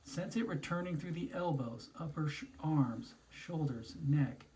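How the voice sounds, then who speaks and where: Male guided-imagery narrator. Dark, relaxed tone, soothing instructional read with spaced phrases. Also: a little high, slight background noise, poor audio. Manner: slow, soothing, instructional delivery with pauses between phrases. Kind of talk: guided meditation, scripted narration.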